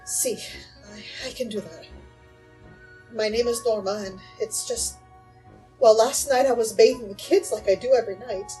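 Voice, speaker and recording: A woman's voice: fairly high pitch, fairly even intonation, normal speed, pauses often, dark tone, soft background music, natural voice, low-quality recording. A woman playing an anxious, hesitant mother asking for help in an audio drama. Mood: frightened, hesitant. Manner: hesitant, faltering start. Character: shaken, anxious young mother seeking help.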